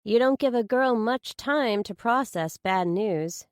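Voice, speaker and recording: Woman acting a blunt, incredulous bereaved sister, pitched up. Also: lively intonation, a little slow, no echo, clean studio sound. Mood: incredulous, demanding.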